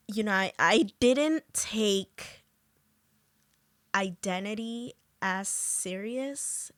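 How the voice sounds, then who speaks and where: Slow, gravelly-voiced woman talking casually. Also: lowish voice, fairly bright tone, no room sound. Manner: slow, halting, many fillers. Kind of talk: casual conversation.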